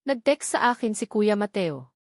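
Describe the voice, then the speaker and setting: A sweet, playful younger sister read by a woman chatters affectionately in an audiobook story. The recording is dry and the pitch is mid-range for a woman. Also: sing-song, moderate pace, darkish tone, dry recording, natural voice. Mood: sweet, eager.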